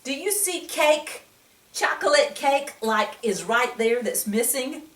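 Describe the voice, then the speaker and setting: Expressive woman storyteller with swooping pitch. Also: slow. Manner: exclaiming, expressive, often asks the listener questions. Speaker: storyteller reading a children's book. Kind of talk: read-aloud to young children with commentary and questions. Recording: echoey room, distant microphone.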